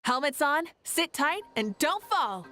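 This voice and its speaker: A woman's voice: sits high, very animated, a little slow, pushed and bright, dry recording, clean studio sound, soft background music, exaggerated voice. A woman playing a composed, confident warrior leader in a video game. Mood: commanding. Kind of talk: video game character acting.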